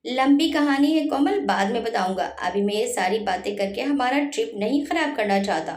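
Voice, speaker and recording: A woman's voice: a little high, lively intonation, moderate pace, a little mellow, close to an everyday voice. A woman voicing an earnest, remorseful husband in a family story, with a firm manner. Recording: roomy echo on the voice.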